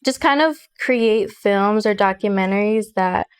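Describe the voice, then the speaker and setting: A young woman from Chicago talks as a guest with a smooth, clear voice. She is thoughtful and a little hesitant. She fills her pauses with soft ums. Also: medium pitch. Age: young adult. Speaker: college student guest. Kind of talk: casual interview.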